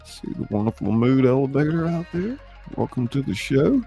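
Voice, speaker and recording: Casual livestream host: man, folksy and welcoming, fry in the voice, lively delivery over background music. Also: medium pitch, normal speed. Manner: welcoming, greeting listeners by nickname, folksy. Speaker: host of a live script-reading show. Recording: music playing behind the voice.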